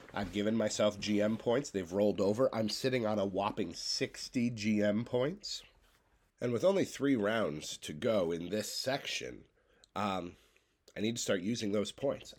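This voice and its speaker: Congested man rambling on a podcast. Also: fairly low pitch. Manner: loose, stream-of-consciousness rambling. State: congested, with a cough. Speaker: podcast host. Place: home bedroom.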